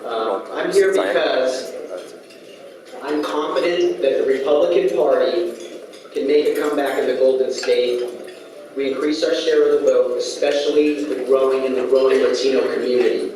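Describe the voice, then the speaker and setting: A man's voice: medium pitch, a little hiss or hum. Middle-aged man speaking in a clattering banquet hall. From United States, California. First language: Spanish. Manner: steady, sincere, with frequent "uh" pauses. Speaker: guest speaker. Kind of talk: prepared political speech. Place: large banquet hall at a lunch event. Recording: echoey hall, distant, clattering dishes.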